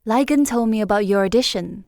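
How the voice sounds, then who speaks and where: A woman's voice: medium pitch, brisk, darkish tone, no echo, studio quality, natural voice. An actress playing a curious, friendly young woman dancer who speaks casually in an audio drama.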